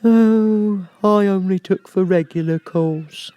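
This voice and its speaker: A man voicing a mournful, self-pitying old turtle creature in a storybook reading, with drawn-out, sighing, doleful delivery. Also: high-pitched, warm tone, no room sound, pitched above the performer's own voice. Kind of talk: storybook character voice.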